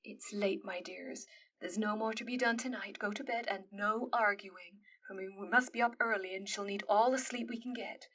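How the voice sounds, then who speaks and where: A woman playing a calm, loving mother of four who speaks gently and steadily. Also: medium pitch, moderate pace, recorded from a distance, muffled recording.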